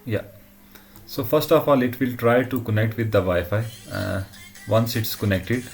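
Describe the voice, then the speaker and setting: A man presenting an informal technical demo in a halting way, often checking in with short tag questions. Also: on the low side, vocal fry, soft background music. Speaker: tutorial presenter.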